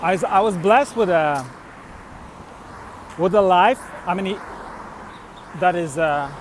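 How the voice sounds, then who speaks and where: A middle-aged man from Turkey and Switzerland tells personal travel stories casually, in a halting, rambling way with trailing thoughts. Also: high-pitched, a little hiss or hum. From born in Turkey, grew up in Switzerland. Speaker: vlogger, musician and traveler. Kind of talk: casual unscripted personal storytelling.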